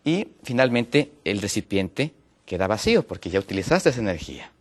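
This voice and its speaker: A man from Ecuador speaks as a guest on a TV health show. His voice sits on the low side, and he explains slowly through many fillers and restarts. His pitch climbs when he drives a point home. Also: sing-song. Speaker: guest, natural medicine health counselor.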